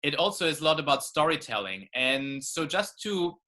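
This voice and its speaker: A middle-aged man from Germany talking conversationally as co-host on a video call, piecing his sentences together haltingly. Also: a little high, big pitch swings, bright, forceful tone. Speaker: co-host, author. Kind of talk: conversational talk in an online event.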